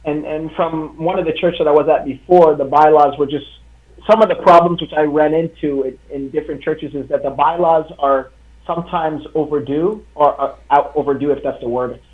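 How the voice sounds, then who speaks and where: A man's voice: on the high side, steady tone. Young Congolese man on a tinny line. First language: French. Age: young adult. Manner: earnest, halting, many fillers and restarts. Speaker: guest, author. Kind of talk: live online interview. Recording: tinny video call line.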